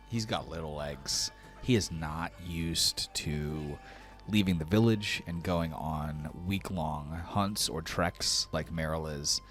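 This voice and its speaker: A man narrating a tabletop roleplaying game as game master. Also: deep voice.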